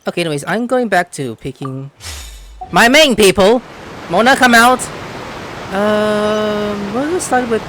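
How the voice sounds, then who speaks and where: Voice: mid-range voice, a little slow, fairly bright tone, slight background noise. A gamer giving casual, chatty commentary, muttering along to the game and its characters. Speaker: game streamer.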